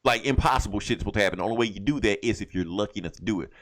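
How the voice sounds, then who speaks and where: A man's voice: lowish voice, very fast. A man co-hosting a podcast, telling a story in a casual, rambling, slangy way with some swearing. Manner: rambling, slangy, acts out lines in passing. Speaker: podcast co-host reviewing comic books. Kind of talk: casual, profane storytelling recap.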